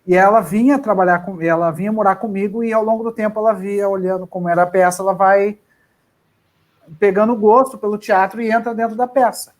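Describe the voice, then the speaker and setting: A man's voice: high voice, fairly even intonation, fairly quick. A man, an actor, chatting casually in a live interview about his career, often restarting his sentences. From southern Brazil. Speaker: actor guest.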